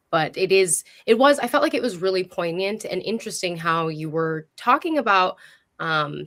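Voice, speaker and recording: A woman's voice: lowish voice, fairly bright tone. A woman hosting a conversational interview, speaking in an appreciative, encouraging way. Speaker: host.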